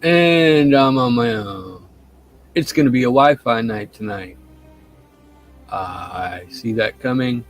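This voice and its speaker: A man's voice: mid-range voice, takes it slowly, long pauses, soft background music. A man co-hosting a live stream, easygoing and making dry quips. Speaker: stream co-host. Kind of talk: casual live stream chat. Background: quiet tune playing.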